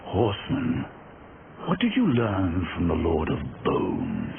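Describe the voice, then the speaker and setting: An actor playing a sinister undead servant in a video game, mocking and drawn-out in a theatrical way. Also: male voice, low-pitched, huge pitch swings, creaky, full character voice. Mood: taunting. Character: sinister, taunting male undead servant of a king. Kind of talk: dramatic video game cutscene acting. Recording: muffled, loud noise behind.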